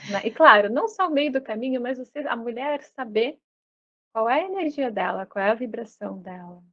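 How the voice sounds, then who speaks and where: Gentle reflective woman, lo-fi sound. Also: a little high, expressive, fairly quick. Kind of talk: casual chat.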